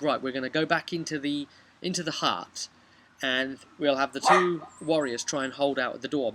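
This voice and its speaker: Bright-voiced young man recapping casually. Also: mid-range voice. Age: young adult. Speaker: game master recapping a tabletop session. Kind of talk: casual storytelling recap to camera. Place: outdoors on a garden bench by a brick house.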